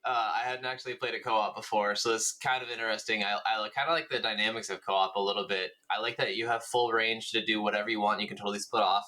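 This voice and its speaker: A man's voice: fairly low pitch, fairly even intonation, fast, few pauses, bright, forceful tone. A man chatting informally as co-host of a gaming podcast, swearing freely. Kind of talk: casual gaming podcast chat.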